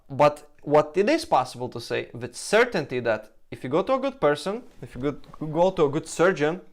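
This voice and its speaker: A man from Lithuania with a Russian-tinged accent, answering viewer questions in casual, halting English full of restarts and fillers. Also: fairly high pitch. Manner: halting, restarts sentences, frequent "like" and "you know". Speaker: hair transplant Q&A host.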